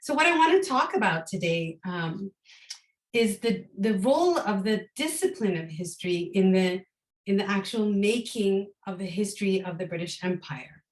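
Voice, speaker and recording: Hoarse woman lecturing with many ums. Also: mid-range voice, roomy sound, low-quality recording. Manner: thoughtful, with frequent ums and restarts. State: hoarse, recovering from a cold. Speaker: guest lecturer. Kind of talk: academic lecture.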